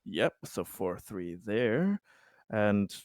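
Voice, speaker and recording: A man's voice: fairly low pitch, very animated, extremely slow, darkish tone, no echo, studio quality. A man playing in a tabletop game, explaining his moves hesitantly, with frequent restarts and laughs. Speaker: player. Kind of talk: tabletop game play over voice chat.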